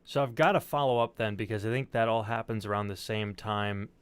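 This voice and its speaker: Male stream host, lowish voice, informal interviewer who phrases questions loosely and swears. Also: steady tone, fairly quick, slight background noise. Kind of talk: casual interview chat.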